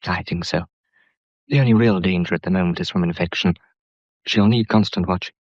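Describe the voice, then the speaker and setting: A grave doctor in a radio drama, measured and matter-of-fact. The voice is very deep and authoritative, in a dry, slightly dull recording. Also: male voice, no echo. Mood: grave, clinical.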